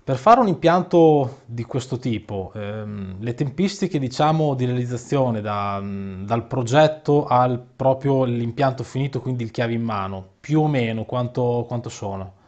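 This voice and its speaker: A man in his thirties puts questions conversationally as an interviewer. His voice sits at an ordinary male pitch. The audio is a little dull, as heard over a video call. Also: measured pace, few pauses, a little mellow. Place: indoor room.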